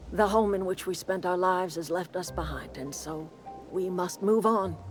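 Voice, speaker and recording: A woman's voice: fairly low pitch, darkish tone, lightly acted. An actress playing a kindly, plain-spoken older woman who leads a garden commune in a video game, with a folksy, motherly manner. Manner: folksy, motherly, calls people dear. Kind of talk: video game character acting.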